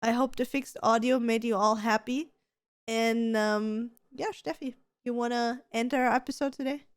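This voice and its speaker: A woman's voice: on the high side, steady tone, moderate pace, clear, resonant tone. A woman co-hosting a casual podcast, chatty and giving advice, with a slight stutter and many restarts. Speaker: podcast co-host.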